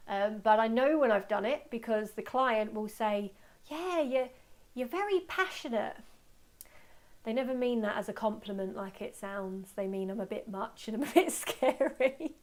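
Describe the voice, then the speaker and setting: A woman giving an informal live webinar as a workshop presenter, chatting away in an excitable, self-deprecating manner and taking audience questions. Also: fairly high pitch, moderate pace. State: voice slightly going from talking.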